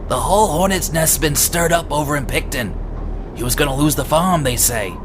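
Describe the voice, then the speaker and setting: A man's voice: a little high, normal speed, pitched above the performer's own voice, natural voice. A man voicing a tired, troubled old farmer with grave, folksy rural phrasing in a horror story. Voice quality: small, weary voice. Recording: clearly noisy home recording.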